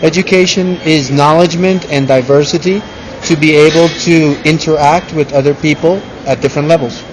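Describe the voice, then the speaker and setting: Fairly high-voiced man in his forties, crowd behind. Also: steady tone, moderate pace, poor audio. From born in Colombia, raised in the United States. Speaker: interviewee. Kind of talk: interview answers. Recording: busy public place, crowd noise. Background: crowd noise.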